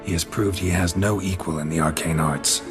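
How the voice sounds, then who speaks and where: A man acts a gruff, middle-aged monster hunter for a video game. His voice sits very low and gravelly. He speaks in a dry, clipped, deadpan way, with music clearly playing underneath. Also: moderate pace, lightly acted. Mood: flat reading aloud.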